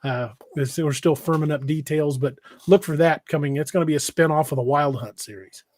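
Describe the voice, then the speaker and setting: A man casually hosting a livestream, reading out comments and chatting in a halting, hesitant way. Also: on the high side, a little mellow. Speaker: podcast host and novelist. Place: indoors on an internet livestream video call.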